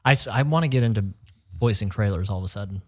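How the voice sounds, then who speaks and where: A man's voice: fairly low pitch, fast talker. Jokey young-sounding man chatting. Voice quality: light, youthful-sounding voice. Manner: jokey, laughs often, storytelling. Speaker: podcast host. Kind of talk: casual chat.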